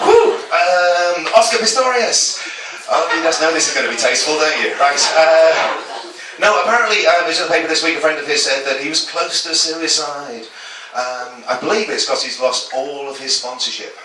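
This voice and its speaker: A man doing a topical stand-up set in a small comedy club, self-deprecating and stumbling into quick asides. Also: fairly high pitch, pushed and bright. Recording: echoey club from a distance with chatter.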